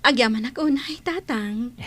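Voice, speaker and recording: A woman's voice: fairly high pitch, moderate pace, natural voice. Woman playing a wistful young mother. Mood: happy. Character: young single mother, wistful, respectful, quietly determined. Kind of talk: radio drama acting.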